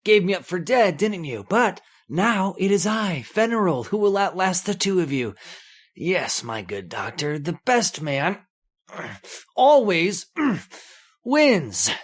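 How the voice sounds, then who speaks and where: A wounded convict knifeman acted by a man in a radio horror drama. He sneers and gloats vengefully, the pitch rising and falling sharply with theatrical relish. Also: very animated, slow, full character voice. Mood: bitter triumph. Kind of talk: old-time radio horror acting.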